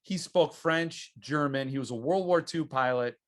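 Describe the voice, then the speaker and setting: A man's voice: a little high, moderate pace. Chatty American man hosting an interview. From United States. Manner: chatty and self-deprecating, with many restarts. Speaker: podcast host. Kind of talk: casual interview chat.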